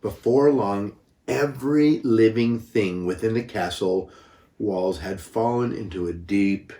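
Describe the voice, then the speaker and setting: An older man narrates a children's story at a very slow pace, with dramatic emphasis. His voice sits fairly low. It was recorded from a distance in an echoing room. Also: mellow. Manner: unhurried, dramatic emphasis, occasional stumbles. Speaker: grandfather reading stories. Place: echoey room, away from the mic. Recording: roomy, echoing, distant microphone.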